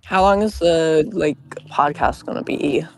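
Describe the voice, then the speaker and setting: A woman's voice: low-pitched, a little slow, slight background noise. A woman trading casual banter on a group podcast, playful and often stammering over repeated phrases.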